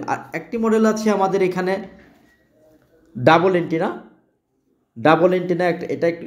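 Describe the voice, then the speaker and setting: A Bangladeshi man delivers an informal sales pitch as a shop presenter. His voice sits a little high for a man, with a very relaxed, mellow tone. He repeats key phrases as he goes. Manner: repetitive, restates phrases. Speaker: shop presenter selling products.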